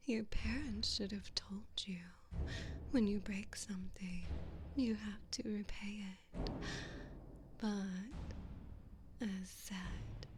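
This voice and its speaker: A woman playing a cruel, haughty evil queen in a whispered ASMR roleplay, taunting and condescending in a breathy voice. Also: mid-range voice, slight background noise, close to an everyday voice. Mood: mock pity, menacing.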